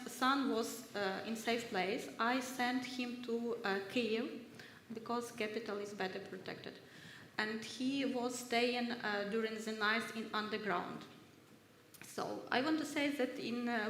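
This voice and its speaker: A woman from Ukraine, speaking haltingly on a workshop panel as a research fellow, with many hesitations. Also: a little high, fairly bright tone, slight background noise. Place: university ceremonial hall. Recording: echoey hall with long reverb.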